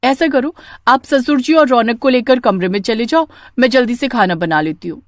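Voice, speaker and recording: A woman's voice: a little high, normal speed, dry recording, voice pushed up, lightly acted. A woman voicing a young daughter-in-law, pleading and justifying herself in a family story. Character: young new daughter-in-law, pleading, unashamed and heat-weary.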